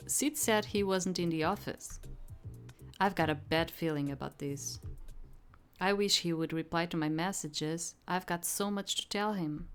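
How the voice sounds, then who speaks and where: A woman reading a visual novel aloud as a let's play, informal, with hesitant casual asides. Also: fairly low pitch. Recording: game music behind the voice.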